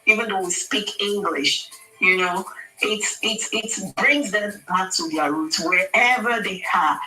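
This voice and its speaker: A woman's voice: medium pitch, measured pace, bright, forceful tone, echoey room, recorded from a distance, faint music behind, rough recording. A Nigerian woman speaking as a radio guest, giving earnest, pleading advice in a preacherly way. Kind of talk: impassioned advice on air. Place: radio studio.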